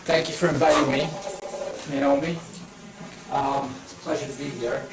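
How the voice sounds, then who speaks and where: A man's voice: mid-range voice, fairly even intonation, measured pace, very noisy. Older Boston-accented man in an echoey hall. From Italy. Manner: rambling, with frequent fillers and restarts. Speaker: painter giving a demonstration. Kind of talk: casual live demonstration talk. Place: art association meeting hall. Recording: echoey room, mic at a distance.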